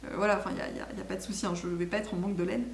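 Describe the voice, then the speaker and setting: A woman's voice: mid-range voice, steady tone, very fast, vocal fry. Woman chatting in an echoey room. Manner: enthusiastic, rambling, self-correcting. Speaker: knitting podcast host. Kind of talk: casual video podcast chat. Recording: echoey room with faint background noise.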